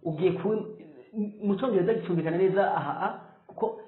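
A man, teaching English phrases at a whiteboard and saying the examples slowly and clearly. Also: high voice. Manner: slow, drawn-out model phrases. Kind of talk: whiteboard language lesson. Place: small room with whiteboard. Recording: echoey room, tinny phone-like sound.

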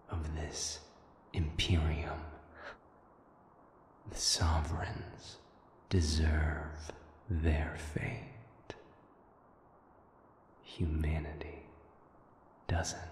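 A man playing a cold, patient otherworldly rebel leader in an audio drama, with a hushed, deliberate, menacing delivery. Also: a bass voice, heavily acted. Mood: grave, determined. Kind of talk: dramatic audio roleplay acting. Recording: echoey hall reverb with noisy ambience.

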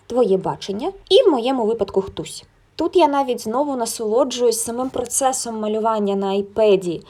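Young female vlogger, slightly high voice, casual first-person narration, chatty and open. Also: darkish tone. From Ukraine. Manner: candid, conversational, colloquial. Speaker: illustrator and art vlogger.

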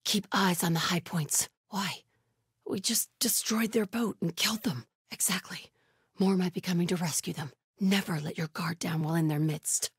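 Stealth game zealot scout: austere, vigilant, solemn, hushed and heavily breathy, lowish female voice. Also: moderate pace, no echo, studio quality. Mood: watchful. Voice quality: breathy, hushed, often whispery. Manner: solemn, guarded.

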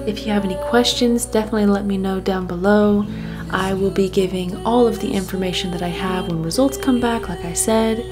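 Young woman, casual vlog narrator, upbeat and candid, music clearly audible underneath. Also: medium pitch, fairly even intonation, brisk. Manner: upbeat, open and candid about hardship. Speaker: vlogger. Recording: voice-over over clear background music.

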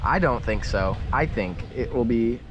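A man's voice: medium pitch, lively intonation, unhurried, lo-fi sound. A young man filming outdoors, giving playful, rambling commentary as he teases the people around him. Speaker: camera operator giving commentary. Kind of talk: casual joking commentary. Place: outdoor parking lot by a boat on a trailer. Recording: outdoor camera mic with noise.

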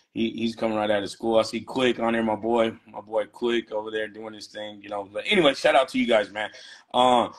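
A man who is a barber, chatting as a guest on a live video call and telling animated, slangy stories from his shop. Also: on the low side. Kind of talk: casual storytelling. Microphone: phone on a live video call.

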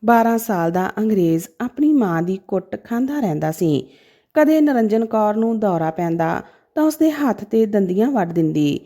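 A woman's voice: mid-range voice, mellow. A woman narrating a scripted story in a dramatic, emotive manner.

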